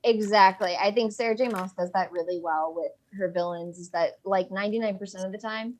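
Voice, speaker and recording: Female guest, average pitch, loose and informal conversational delivery. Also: steady tone, a little fast, a little hiss or hum. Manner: informal, frequent use of "like". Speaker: discussion guest. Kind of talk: casual chat.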